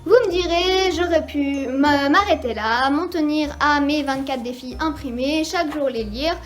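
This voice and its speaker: A woman giving a casual craft tutorial, chatting away with plenty of small hesitations. Her voice is clearly high. She lists her supplies as she goes. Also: a little slow, soft background music. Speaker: guest friend.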